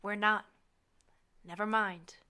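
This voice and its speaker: Resentful, anxious student, played by a woman: hurt, bitter edge, bright forceful tone, mid pitch, audio drama. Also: fairly even intonation, slow, smooth. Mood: bitter, defiant.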